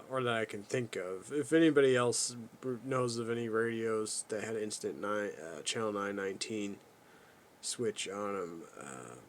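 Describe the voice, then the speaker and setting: A man giving a casual, unscripted product walkthrough as a video host, talking in a halting, low-key, rambling way. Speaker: radio reviewer, video host.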